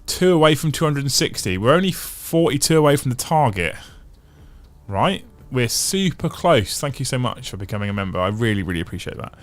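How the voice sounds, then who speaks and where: A man's voice: medium pitch, sing-song, a little fast. A man hosting a casual livestream, chatting and reading out viewer comments, thinking aloud in long runs. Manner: chatty, thinks aloud with restarts and repeated phrases. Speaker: livestream host.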